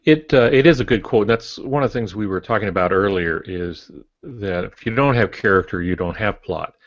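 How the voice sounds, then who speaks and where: An older man with a fairly low voice talks casually as a podcast co-host, offering advice in a panel discussion. The recording is dry and a little dull. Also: normal speed, dry recording. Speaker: podcast co-host and writing teacher.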